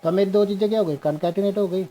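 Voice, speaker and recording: A young man teaching a programming class on screen, slowly walking through code step by step and mixing Hindi with English. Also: high-pitched, mellow, poor audio. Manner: slow, explains step by step, mixes Hindi and English. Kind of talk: screen-share coding lesson.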